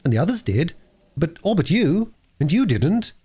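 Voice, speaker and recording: Guy playing a bossy storybook schoolboy, brisk and proud, voice pitched up. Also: wildly swooping pitch.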